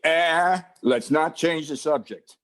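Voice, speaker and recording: A man's voice: a little high, slow pace, a bit bright. A man playing a police lieutenant in a 1940s-style radio drama, teasing and a little condescending. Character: male police lieutenant, wry, fond and patronizing.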